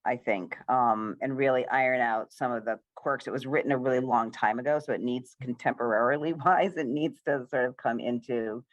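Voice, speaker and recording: A woman's voice: rumbling low, moderate pace, hardly any pauses, dry recording, muffled recording. A woman screenwriter talking casually in a question-and-answer session, rambling and full of praise for her actors. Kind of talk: casual post-reading Q&A talk.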